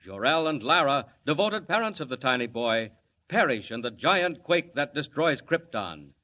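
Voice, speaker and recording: A man announcing and narrating a 1940s radio serial in a dramatic, booming style. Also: sing-song, normal speed, bright, forceful tone, highs cut off.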